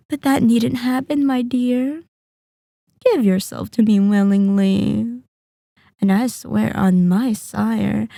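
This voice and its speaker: A woman voicing a centuries-old, sadistic and possessive vampire in an intimate ASMR roleplay, speaking in a deliberate, taunting, commanding manner. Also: on the high side, vocal fry, dark tone, no room sound, clean studio sound, heavily acted. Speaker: audio roleplay performer.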